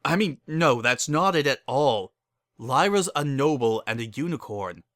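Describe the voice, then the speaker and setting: A man's voice: mid-range voice, expressive, unhurried, a bit bright, dry recording, studio quality. A man voicing a worried, practical male pony baker with a cautioning, exasperated manner.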